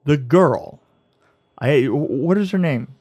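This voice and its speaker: A man's voice: fairly high pitch, lively intonation, slow pace, dark tone, no room sound. A man co-hosting a podcast, chatting casually with many restarts and wry jokes. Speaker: podcast co-host. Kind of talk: casual chat reviewing a TV series.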